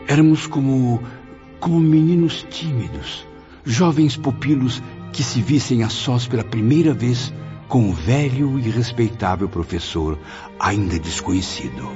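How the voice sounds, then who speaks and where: Deep-voiced, wistful spirit narrator. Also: male voice. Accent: Brazilian. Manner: literary, solemn. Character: humble, wistful male spirit recalling his past. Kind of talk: first-person radio drama narration. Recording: dull audio under clear music.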